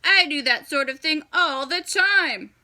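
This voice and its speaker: Woman playing a bright, cheerful lumberjack man. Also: high-pitched, expressive, unhurried, full character voice. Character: cheerful, easygoing male storybook lumberjack.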